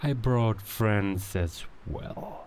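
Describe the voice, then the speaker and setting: A man acts a commanding werewolf king in a cinematic audio drama. He is calm and measured, very slow, with a low, dark, mellow voice. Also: a little hiss or hum.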